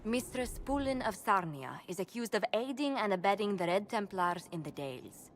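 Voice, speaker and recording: A woman's voice: mid-range voice, expressive, moderate pace, a little hiss or hum, natural voice. Game role: actress as diplomatic lady advisor, mid-range voice, courteous formal delivery. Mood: formal, poised. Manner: formal, polite. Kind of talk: dramatic video game acting.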